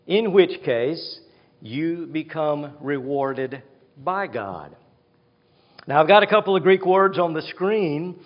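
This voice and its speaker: A man preaching a sermon, teaching slowly and deliberately, and repeating key words for emphasis. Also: a little high. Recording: dull hall reverb.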